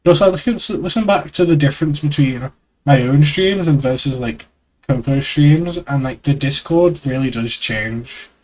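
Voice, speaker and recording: A man's voice: medium pitch, moderate pace, a little mellow, slight background noise, poor audio. Man, stuffy nose, live streamer, rambling self-deprecating chat, desk mic. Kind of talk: casual live-stream reading of a webcomic aloud. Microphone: external desk mic.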